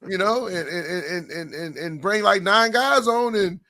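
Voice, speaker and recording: A man co-hosting a casual high school basketball podcast, a little hoarse and rambling, repeating words as he goes. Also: unhurried, bright, forceful tone. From Oklahoma. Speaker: podcast co-host. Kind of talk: casual sports chat.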